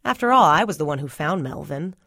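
Calm woman reading a story, dry sound. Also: low voice, brisk, gravelly, no room sound. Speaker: reader of a short story. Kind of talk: reading literary fiction aloud.

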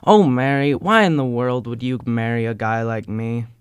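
A man's voice: medium pitch, normal speed, smooth, no echo, clean studio sound, lightly acted. A young man playing an anguished, self-doubting husband in a holiday radio play. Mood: anguished, regretful.

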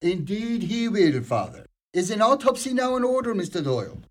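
An actor playing a suspicious, dryly stern Irish small-town investigator in a radio play, husky and probing. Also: male voice, high-pitched, big pitch swings, normal speed. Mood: dry, resigned. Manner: dry, probing.

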